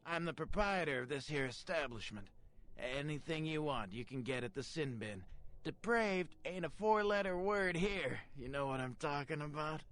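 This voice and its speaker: An actor playing a sleazy, cagey porn shop owner in a video game, with brash, slangy street talk. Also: male voice, a little high, expressive, normal speed, a bit bright, slight background noise. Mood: salesmanlike.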